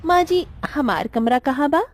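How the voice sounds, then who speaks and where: A woman's voice: high voice, measured pace, dry recording, soft background music. A woman voicing a humble young village daughter-in-law in a cartoon, deferential and rustic, with a Bhojpuri accent. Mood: meek, pleading. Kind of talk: Hindi cartoon moral-story voice acting.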